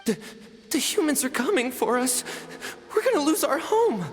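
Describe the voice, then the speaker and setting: A woman voicing a frightened little tanuki creature in a video game, halting and stammering. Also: sits high, big pitch swings, in a large hall, faint music behind. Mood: fearful.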